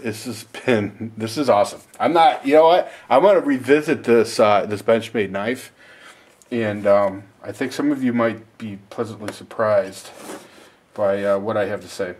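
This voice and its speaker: A man's voice: lowish voice, a little mellow, a little hiss or hum. A man giving a casual, rambling, self-deprecating unboxing and review as the host of a video.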